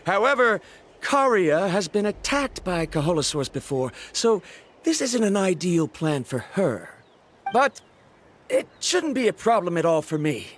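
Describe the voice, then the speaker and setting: A man's voice: sits high, big pitch swings, a little slow, slight background noise. A man playing a serious, skeptical young scholar in a video game, formal and argumentative in manner. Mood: earnest.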